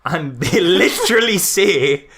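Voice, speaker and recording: A man's voice: high voice, expressive, slow, creaky, crowd noise. A man chatting casually and comedically as a podcast co-host about films, giggly and often mimicking lines in mock quotes. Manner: giggly, mock-quoting characters as he talks. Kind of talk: casual comedic film critique chat.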